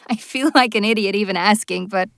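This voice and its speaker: A woman's voice: mid-range voice, normal speed, gravelly, fairly bright tone, no echo, clean studio sound. A young woman voicing a hot-tempered teenage girl in a comic dub. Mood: hesitant.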